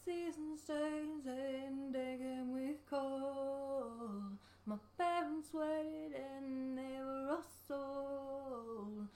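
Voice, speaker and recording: A woman's voice: high voice, fairly even intonation, drawn-out words, darkish tone. A woman singing an advertising jingle, recorded with an echoey sound. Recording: echoey, reverberant vocal.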